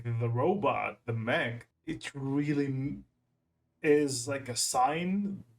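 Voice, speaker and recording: Man giving rambling casual commentary. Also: medium pitch. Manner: rambling, halting, repeats himself. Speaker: reaction video host.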